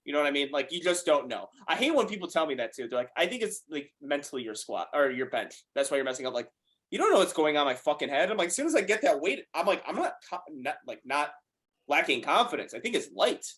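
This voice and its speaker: A man in his late twenties talking powerlifting on a podcast, quick and animated, cursing casually. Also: fairly high pitch. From United States. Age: 20s. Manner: fast, animated, swears freely. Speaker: guest powerlifter.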